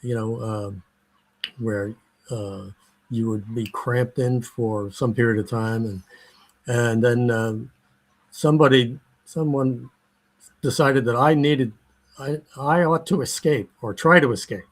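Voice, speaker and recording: An older man with an American accent is looking back on his life in an interview. He talks slowly and stops often, filling the gaps with fillers and starting phrases over. His voice sits at an ordinary, middle pitch. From United States. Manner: slow and halting, many fillers and restarts. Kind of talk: oral history interview.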